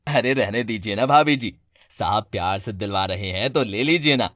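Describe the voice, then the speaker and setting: A man's voice: lowish voice, lively intonation, moderate pace, fairly bright tone, no echo, voice pushed down. A man voicing a pushy, scornful shopkeeper with an oily salesman's manner in a cartoon. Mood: oily coaxing. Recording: dry studio voice with music.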